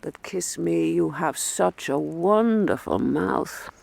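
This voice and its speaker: Imperious lady artist, middle-aged, cutting and theatrical, very lively pitch, radio play. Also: slow, vocal fry, exaggerated voice. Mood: mocking. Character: imperious, volatile, mocking woman painter of about forty in Italy. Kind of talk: full-cast radio drama acting.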